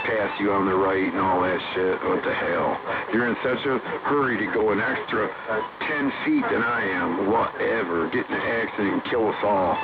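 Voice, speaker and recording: A man's voice: fairly low pitch, moderate pace, hardly any pauses. Older American man on tinny, static-heavy CB radio. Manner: folksy, blunt, salty language. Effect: CB single-sideband radio. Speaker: amateur CB radio operator. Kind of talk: casual rambling radio chat.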